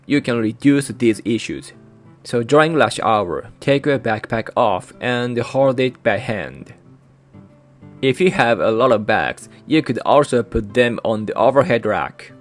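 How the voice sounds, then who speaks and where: A man with a Japanese accent narrates a scripted guide in a middle-pitched voice, taking it in short, careful phrases. His first language is Japanese, and his delivery stays even throughout. Faint guitar music plays beneath him. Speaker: channel host.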